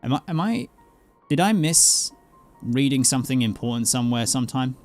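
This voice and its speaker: A man giving casual commentary on a game stream, animated, often breaking off and repeating himself. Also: mid-range voice, normal speed. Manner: animated, self-interrupting, repeats phrases for effect. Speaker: streamer playing an online role-playing game. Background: game music.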